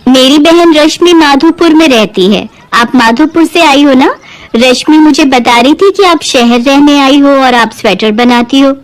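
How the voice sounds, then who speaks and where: A woman voicing a friendly, chatty city customer with a brisk, courteous manner in a Hindi moral-story cartoon. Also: high-pitched, voice pushed up, poor audio. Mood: friendly, requesting.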